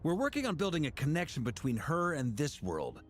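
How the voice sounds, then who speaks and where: A man's voice: fairly high pitch, wildly swooping pitch, a little fast, slight background noise. A man playing an easygoing, confident knight commander in an anime game, with a casual, teasing manner. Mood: matter-of-fact. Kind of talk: anime video game dialogue.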